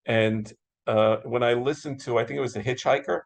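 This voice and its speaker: Casting: American man, moderator type, creaky voice, enthusiastic and agreeable in casual conversation. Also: medium pitch, fairly even intonation, brisk. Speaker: interviewer, moderator. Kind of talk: casual interview chat about classic radio.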